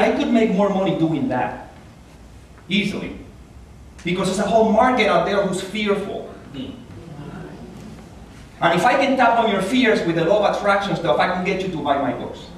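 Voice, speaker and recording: A man with a Puerto Rican accent gives an earnest, animated inspirational talk as a guest speaker at a church. His voice sits a little high for a man. He is picked up from some distance in a very echoey hall, with faint noise behind him. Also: moderate pace, pauses often. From Puerto Rico. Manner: earnest, animated, rises in pitch when stressing a point. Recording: echoey hall, taken from far off.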